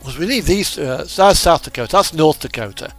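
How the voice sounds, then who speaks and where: A man's voice: a little high, animated, fairly quick, creaky. A man chatting casually and thinking aloud in a rambling way while playing a driving game. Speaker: video game streamer. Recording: music playing behind the voice. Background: radio music and truck engine.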